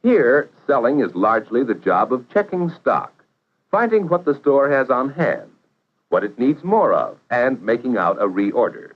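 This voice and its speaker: A man narrating a 1950s educational film in a measured, instructive way, posing questions to the viewer. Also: mid-range voice, very animated. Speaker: narrator of vocational training film. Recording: dull vintage 1950s film soundtrack.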